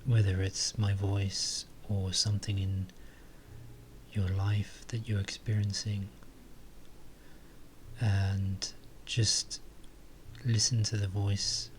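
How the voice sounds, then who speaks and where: A man softly leading a guided meditation, calm and unhurried, with long pauses between phrases. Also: fairly low pitch, a little hiss or hum.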